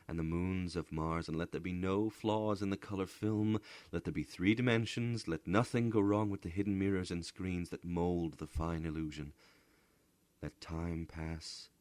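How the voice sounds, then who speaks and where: A man voicing a gentle, secretly anxious father in a storybook reading, speaking earnestly in a measured, hushed way. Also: deep voice, dry recording.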